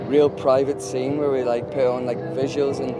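A man's voice: medium pitch, level tone, normal speed. A man, a band member talking loosely and jokily in an outdoor interview at night. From Liverpool. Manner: loose, joking, rambling. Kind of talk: casual interview. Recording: loud music behind.

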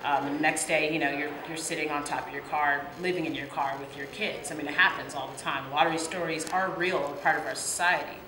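A woman's voice: low-pitched, fairly even intonation, fairly quick, few pauses. A woman from Los Angeles giving emphatic interview answers, often restarting her thoughts. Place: indoor room with mural wall. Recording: echoey room with crowd noise.

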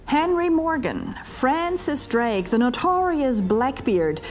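A middle-aged woman, a keynote speaker and leadership coach, talking to camera in a scripted promotional monologue with emphatic, deliberate delivery and dramatic pauses, recorded in a studio on a lapel mic. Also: high-pitched, very animated, slight background noise. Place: studio before a green screen, edited onto a virtual ship deck. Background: faint music bed.

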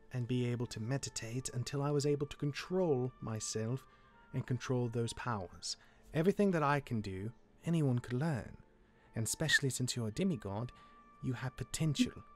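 A man playing a gruff, jaded demigod older brother in a Minecraft roleplay, reluctantly caring, with a halting, exasperated delivery. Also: medium pitch, faint music behind, lightly acted. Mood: instructive, reluctant.

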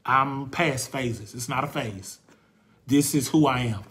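A man in his forties hosting a faith talk, speaking passionately in a preacherly way, repeating phrases for emphasis and pausing to search for words when he turns reflective. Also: mid-range voice, a bit bright. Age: 40s. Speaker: host.

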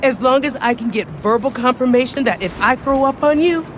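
A woman's voice: high-pitched, animated, fairly quick, noisy background. An actress playing a rescued female hostage in a video game, wary, incredulous and drily humorous. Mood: incredulous, wry.

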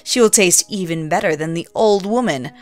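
A woman voicing a sly, wicked male wolf in a storybook reading, coaxing and slow. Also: fairly low pitch, soft background music, lightly acted.

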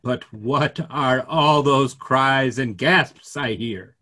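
A middle-aged man playing an earnest, gently ironic clergyman in a play reading, wordy and rhetorical. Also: medium pitch, slow. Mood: puzzled, probing. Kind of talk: remote script reading of a stage play. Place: small room at home, video call.

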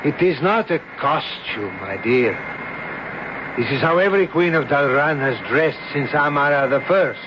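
A suave courtly emissary, voiced by a man, speaks in formal, ceremonious phrases, his voice pitched slightly high. The old radio broadcast is muffled and carries a clear hiss. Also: animated, moderate pace, lightly acted. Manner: formal, ceremonious, smoothly commanding. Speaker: foreign official escorting the actress. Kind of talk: 1970s radio drama acting.

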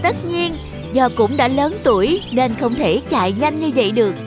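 A woman narrates a scripted story for children in a fairly high voice. Jingly children's music plays clearly behind her. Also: lively intonation, moderate pace.

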